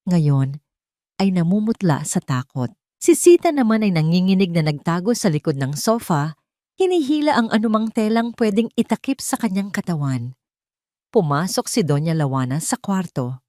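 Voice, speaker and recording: A woman narrating a dramatic story in a scripted storytelling style, slowing down and lifting her voice for tense moments. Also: lowish voice, darkish tone, dry recording, clean studio sound.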